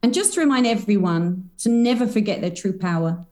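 A woman's voice: mid-range voice, expressive, a little fast, a little mellow. A woman, a guest on a podcast, chatting in a bubbly, rambling way full of spiritual talk. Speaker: podcast guest, energy healer. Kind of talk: casual podcast chat.

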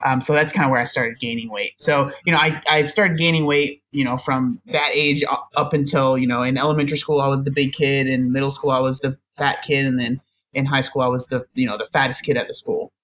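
Young male guest, mid pitch, chatty, self-deprecating, laughs easily. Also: steady tone, a little fast, fairly bright tone. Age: young adult. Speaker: podcast guest. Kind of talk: casual storytelling interview.

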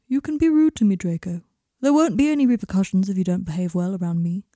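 A woman voicing a patient, mild-mannered male therapist, measured and reassuring, in audiobook dialogue. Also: medium pitch, dark tone, no echo, clean studio sound, lightly acted.

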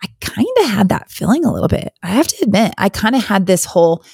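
A hoarse woman hosting a podcast, talking in a candid, chatty, rambling way on her own. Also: mid-range voice, sing-song, fast, darkish tone, no echo, clean studio sound. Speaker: podcast host. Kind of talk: casual solo podcast chat.

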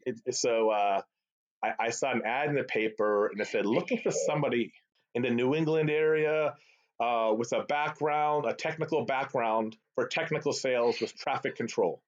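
A man's voice: medium pitch, normal speed. A middle-aged man from the Boston area with a Boston accent, Arabic his first language, chatting as a guest in an interview and often tagging on "right?". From Boston area, USA. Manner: animated, chatty, tag questions like "right?". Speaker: guest, sales executive. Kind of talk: casual storytelling interview. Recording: slightly dull lo-fi call audio.

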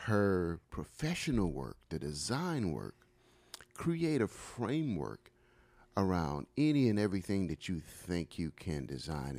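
A man's voice: deep voice, a little mellow, no room sound. A man co-hosting a talk show, introducing a guest with effusive praise. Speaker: co-host.

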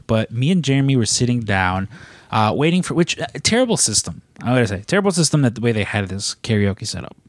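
A man's voice: mid-range voice, very animated, fast, no room sound. A man hosting a casual podcast on a cruise, joking and swearing as he rambles through stories. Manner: joking, profane, rambling storyteller. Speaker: podcast host. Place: aboard a cruise ship.

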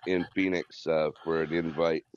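Very deep-voiced, easygoing podcast co-host man. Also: level tone, normal speed. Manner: easygoing, storytelling, agreeable. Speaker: co-host, drummer. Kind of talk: casual chat. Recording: dull lo-fi sound.

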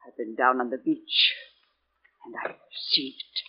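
An actress playing a superstitious, ominous housekeeper in a 1940s radio drama, speaking with insinuating foreboding. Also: female voice, a bass voice, unhurried, husky, heavily acted. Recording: noisy, dull 1940s radio recording.

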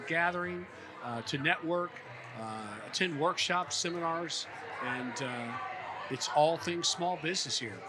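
Male interview host, slightly high register, folksy and effusive, halting delivery, noisy location sound. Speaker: podcast host. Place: busy conference venue at a hotel. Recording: clear background noise on location.

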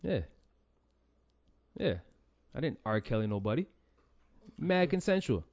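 A man's voice: medium pitch, sing-song, measured pace, darkish tone, muffled recording. A man co-hosting a casual podcast, joking around and swearing freely. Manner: joking, brash, profane. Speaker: podcast co-host.

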